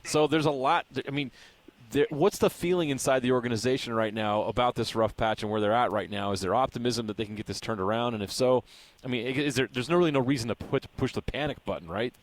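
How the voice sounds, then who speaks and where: A dry studio sound carries this American man's mid-pitched voice. He hosts sports radio, setting up points and questions for a guest in a conversational way. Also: fast, dry recording. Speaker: sports radio host interviewing.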